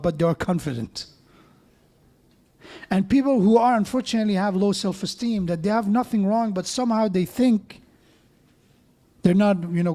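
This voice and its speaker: A man's voice: high voice, dark tone. A man preaching a sermon in a mosque, conversational, with joking asides and frequent restarts.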